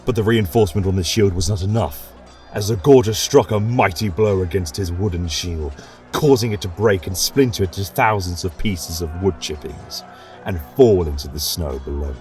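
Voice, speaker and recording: A man reading a fantasy story aloud as a narrator, in a measured, dramatic way. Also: deep voice, darkish tone, soft background music.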